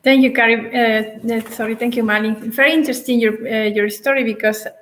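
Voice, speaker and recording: Halting woman host, slightly high. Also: steady tone, slight background noise. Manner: halting, many fillers. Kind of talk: panel discussion talk.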